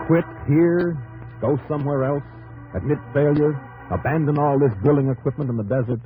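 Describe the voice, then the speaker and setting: A man's voice: fairly high pitch, steady tone, normal speed, phone-quality sound. A man giving dramatic scripted 1950s radio narration in emphatic, clipped, theatrical phrases on an old broadcast. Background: loud dramatic music.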